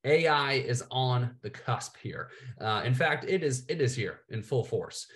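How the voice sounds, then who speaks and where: A man's voice: mid-range voice, lively intonation, unhurried, a bit bright. A man in his thirties with a cold, presenting slides in a casual way, saying "all right" and "uh" a lot. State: has a cold, slightly nasal, coughing. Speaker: business coach presenting to coaches. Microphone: webcam, screen-share video call.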